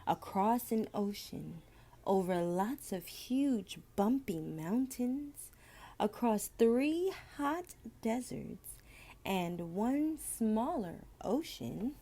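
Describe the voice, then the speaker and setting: A woman reading a children's picture book aloud in an expressive, deliberate storytelling manner with dramatic pauses. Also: a little high. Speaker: storybook reader.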